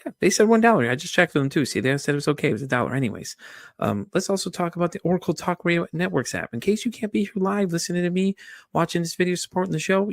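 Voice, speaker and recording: A man's voice: high voice, no room sound, studio quality. A man hosting his podcast in casual chat, joking and chatty with his listeners. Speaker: podcast host.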